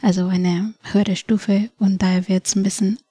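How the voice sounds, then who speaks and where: A woman's voice: mid-range voice, little pitch movement, moderate pace, mellow, husky, no echo, studio quality. A woman in her twenties from Nepal, a native Nepali speaker, telling her story as a podcast guest, thoughtful and hesitant with many fillers. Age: 20s. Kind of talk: personal story in an interview. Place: podcast studio.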